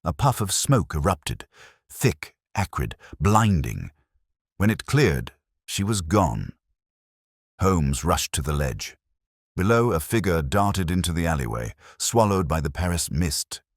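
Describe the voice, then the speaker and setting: Male audiobook narrator, extremely low register, lively intonation, dramatic literary delivery, dry close sound. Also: unhurried, no room sound.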